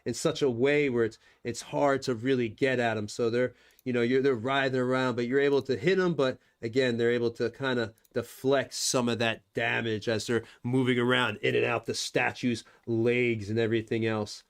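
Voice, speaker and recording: Game master type: casual man, mid-pitch, rambling narrator who says "you know" a lot. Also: steady tone, moderate pace. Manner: loose, chatty, fills gaps with "you know".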